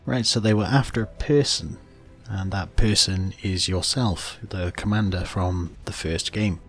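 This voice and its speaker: A man's voice: low voice, measured pace, a bit bright. A man giving explanatory, matter-of-fact commentary as he hosts a video game walkthrough. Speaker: gaming video host. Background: video game music and sounds.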